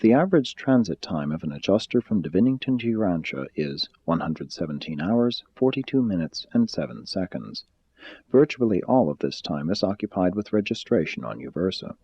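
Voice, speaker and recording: Low-voiced man reading formally, mellow tone. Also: very animated, a little fast, husky. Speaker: audiobook-style reader. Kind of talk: formal scripted reading of a spiritual text. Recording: slightly dull, muffled sound.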